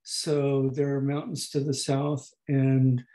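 A man in his eighties lecturing slowly over a video call, with a hoarse, gravelly voice that is not fully distinct and that often pauses and restarts. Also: medium pitch, little pitch movement, mellow, low-quality recording. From United States. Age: 80s. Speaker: professor emeritus giving a talk. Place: home room at a desk. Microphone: webcam on a video call.

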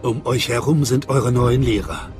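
A man playing a solemn cult guide in a video game, speaking in a measured, reverent, mystical way. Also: medium pitch, darkish tone, faint music behind, natural voice. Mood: mystical, instructive. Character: solemn male cult guide welcoming initiates. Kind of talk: dramatic video game voice acting in German.

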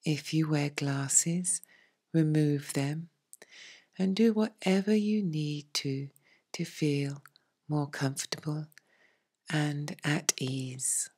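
A woman leading a guided meditation as a teacher, in a soft, breathy, hushed and soothing voice with long pauses. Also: low voice. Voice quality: soft, breathy, near-whispered. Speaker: yoga nidra meditation teacher.

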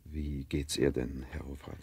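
An actor in a German radio play, playing a stern, preoccupied father with a deep, gravelly voice. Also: flat delivery, measured pace, dark tone, no room sound, studio quality, full character voice. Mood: worried. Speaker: actor in an audio drama.

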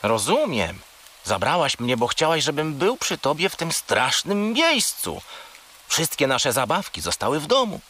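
A childlike, greedy furry creature in a children's radio play. It is plaintive and melodramatic, with a forceful, bright tone and wildly swinging pitch. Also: male voice, big pitch swings, measured pace, slight background noise, full character voice. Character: small furry toy companion, whiny, greedy, dramatic, childlike. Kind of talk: children's audio drama acting.

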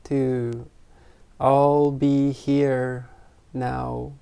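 This is a meditation teacher of unclear sex with a soft-edged, mellow voice. The delivery is very slow and even, with long pauses between short phrases. It sounds like gentle, unscripted guidance for a meditation group. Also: medium pitch, creaky, clean tone. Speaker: meditation teacher leading an online retreat. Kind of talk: guided meditation, gentle spontaneous talk.

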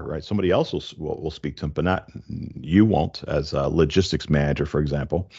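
A man's voice: low-pitched, a little mellow, slightly rough, muffled recording. A middle-aged man hosting a casual tech podcast, explaining things conversationally into a close desk microphone. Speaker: podcast host. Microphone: close desk microphone with headphones.

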